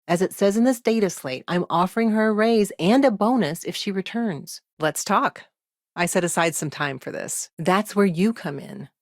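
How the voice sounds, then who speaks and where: An actress voicing a busy, pragmatic, principled woman lawyer in a video game, speaking in a businesslike, frank, measured conversational way with dry asides. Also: medium pitch, lively intonation, no room sound, natural voice. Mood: matter-of-fact.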